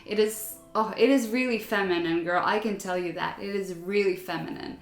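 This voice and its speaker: A woman's voice: mid-range voice, moderate pace. Chatty young woman in an echoey room. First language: not English. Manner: chatty, relatable, laughs often. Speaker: vlogger. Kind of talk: casual chat reading viewer stories.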